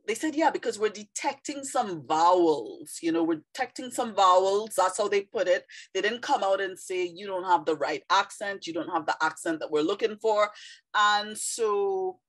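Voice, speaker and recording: A woman's voice: medium pitch, normal speed. A woman in her thirties from Trinidad and Tobago with a Trinidadian accent, talking casually and reflectively about teaching English, with frequent fillers. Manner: reflective, conversational with many fillers. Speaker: vlogger, former ESL teacher. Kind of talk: casual monologue to camera.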